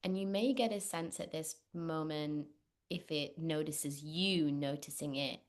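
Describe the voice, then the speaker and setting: Slow, low-pitched woman guiding meditation. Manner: gentle, unhurried, with long pauses. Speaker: meditation guide, therapist. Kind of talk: guided meditation, spoken instruction.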